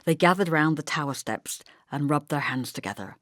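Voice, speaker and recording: Female audiobook narrator, literary fiction. Lower register, measured but expressive delivery, close dry sound. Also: no echo.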